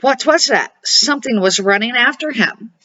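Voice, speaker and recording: An older woman reads a picture book aloud for children. Her voice is bright, with homespun phrasing and dramatic pauses, captured on a slightly muffled home recording. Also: on the high side, animated, slight background noise. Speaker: storybook reader and series host. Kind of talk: storybook narration for children.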